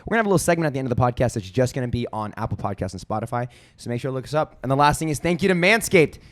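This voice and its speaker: A young man hosting a podcast, with quick, upbeat patter and sponsor plugs. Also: mid-range voice, lively intonation. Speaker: podcast host. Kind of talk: casual chat.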